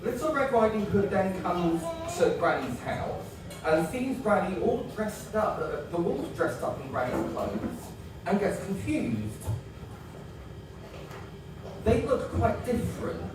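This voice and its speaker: A man's voice: high-pitched, sing-song, takes it slowly, exaggerated voice. Male comic as a naive grown-up mummy's boy, a rambling story-time host, far off in a reverberant room. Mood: earnest. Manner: naive, rambling, earnest with many ums. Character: childlike 26-year-old man-child, mummy's boy, oblivious. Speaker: comedy character hosting kids' story time. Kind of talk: live stand-up character comedy. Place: live comedy stage. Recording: echoey live venue from a distance, noisy.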